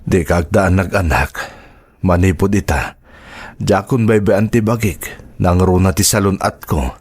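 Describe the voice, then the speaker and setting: Husky, deep-voiced ailing Ilocano father played by an actor. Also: unhurried, a little mellow, full character voice. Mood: weak, resigned. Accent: Ilocano Filipino. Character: ailing father of a student, on dialysis. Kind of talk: Ilocano audio drama acting.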